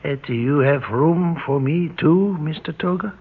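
A man's voice: a little high, moderate pace, slightly rough. A man playing a timid passenger in a 1960s radio drama, speaking politely and with hesitation. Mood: hesitant. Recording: tinny old radio recording.

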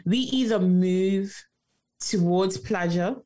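A woman's voice: mid-range voice, slow, warm tone. A woman speaking as a life coach guest in an interview, emphatic and motivational, repeating phrases for rhythm.

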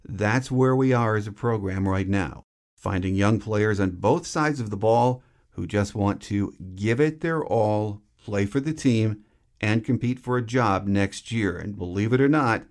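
A man's voice: on the low side, unhurried. An American man hosting a podcast, delivering scripted sports commentary. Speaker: podcast host.

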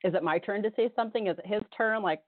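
Hoarse chatty woman on a phone line. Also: medium pitch, quick pace, dry recording. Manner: chatty, rambling, laughs often. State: hoarse from a cold. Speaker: radio show co-host. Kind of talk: casual radio chat. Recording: tinny phone-line sound.

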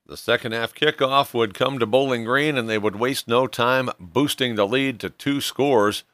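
A man's voice: medium pitch, normal speed, a bit bright, no echo. A man hosting a sports show, narrating a scripted recap of a football game.

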